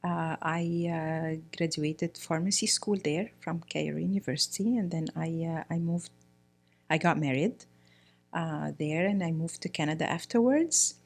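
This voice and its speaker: Middle-aged woman from egypt, lowish voice, lots of ums and restarts, casual interview. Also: normal speed, gravelly, darkish tone, faint music behind. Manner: hesitant, many fillers and restarts. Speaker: guest, priest's wife.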